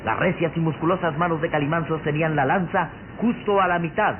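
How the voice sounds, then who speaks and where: A man's voice: on the high side, steady tone, fairly quick, slight background noise. A man narrating an old radio serial in a theatrical, declamatory, suspenseful style. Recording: tinny old radio recording with loud music.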